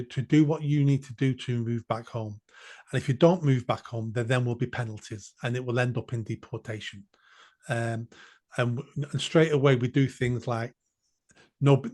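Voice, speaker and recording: A man's voice: medium pitch, a little mellow. Blunt, emphatic man. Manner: blunt, emphatic, repeats phrases for force. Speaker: podcast guest. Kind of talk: political podcast discussion.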